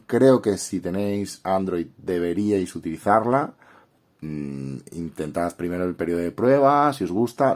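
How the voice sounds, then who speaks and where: A man's voice: low-pitched, a little mellow. A man with a Castilian Spanish accent and a head cold, chatting informally and directly to viewers as he hosts a casual tutorial and review. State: congested with a cold. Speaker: YouTube host reviewing a drawing app.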